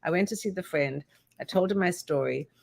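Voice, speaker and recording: An older woman with a South African accent, a perfumer being interviewed on a talk show, telling her stories with animated quoted voices. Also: on the low side, a little fast, vocal fry. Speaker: guest, perfumer.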